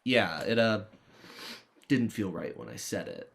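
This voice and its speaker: A man's voice: on the low side, big pitch swings, unhurried, creaky, full character voice. A man playing a defiant, sarcastic teenage schoolboy with a cheeky, melodramatic manner in a play reading. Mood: mock offended. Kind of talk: dramatized play script reading.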